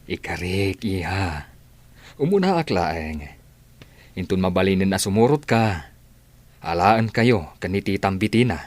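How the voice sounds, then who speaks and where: Low-voiced gentle father, acted by a man. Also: lively intonation, unhurried, pauses often. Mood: reassuring. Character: gentle, reassuring widowed father and boss. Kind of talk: Ilocano radio drama acting. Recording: music under the voice.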